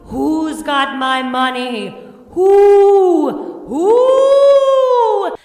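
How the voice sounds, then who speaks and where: Brief: a dead woman's ghost, slow, high, loud, drawn-out storybook wail. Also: lively intonation, echoey room, long reverb tail, full character voice. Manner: slow, drawn-out wailing cries.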